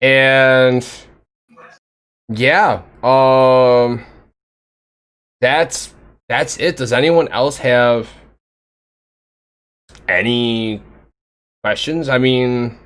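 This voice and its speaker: A man's voice: mid-range voice, extremely slow, long pauses, a bit bright, smooth. Laid-back man hosting a live-stream chat. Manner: laughs often, talks to chat. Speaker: interview host. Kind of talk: casual live-stream interview.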